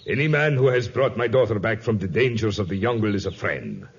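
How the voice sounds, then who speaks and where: A man's voice: lowish voice, expressive, fairly quick, husky. An actor playing an effusive, courtly middle-aged plantation owner and father in a 1950s radio drama. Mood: courteous, hospitable. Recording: dull lo-fi 1950s radio recording.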